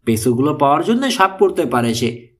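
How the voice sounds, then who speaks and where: A man's voice: on the high side, sing-song, brisk. A man voicing an anxious, remorseful middle-aged woman in an audiobook, speaking in an earnest, confessional manner. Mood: remorseful, afraid.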